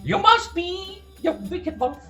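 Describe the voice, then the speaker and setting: Tiny goat kids, squeaky, very high, bright, wary and defiant. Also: female voice, slow pace, soft background music, heavily acted. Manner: squeaky and shrill, exclaiming.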